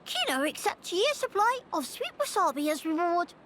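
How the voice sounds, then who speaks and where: A woman's voice: extremely high pitch, sing-song, normal speed, a bit bright, a little hiss or hum, heavily acted. A woman voicing a small, childlike fantasy creature in a video game, eager and boastful, talking in broken, exclamatory grammar. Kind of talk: video game cutscene acting.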